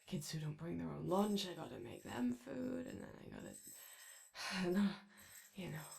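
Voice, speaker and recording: A woman voicing an anxious, eager and gentle robot daycare attendant in an ASMR roleplay, speaking in a hesitant, rambling way. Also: fairly low pitch, lively intonation, vocal fry. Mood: flustered, rambling. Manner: hesitant, rambling, halting speech. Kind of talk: improvised-sounding ASMR character roleplay.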